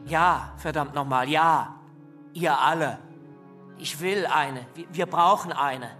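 A fervent young man of the revolution, with a fairly high voice. He speaks slowly and insistently, exasperated, in a radio drama scored with music. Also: pushed and bright. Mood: exasperated resolve.

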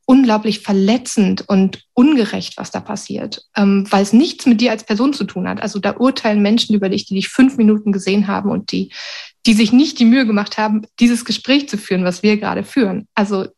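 A woman's voice: medium pitch, a little fast, gravelly, a little mellow. A middle-aged woman from Berlin talking candidly and reflectively about personal experience in an interview. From Berlin, Germany. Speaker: interviewee, university secretary with a doctorate.

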